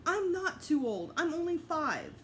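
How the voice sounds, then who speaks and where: Whiny five-year-old storybook boy, played by a woman: bossy, dramatic, emphatic, with big leaps in pitch. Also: sing-song, measured pace, a little hiss or hum, heavily acted. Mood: wailing, indignant.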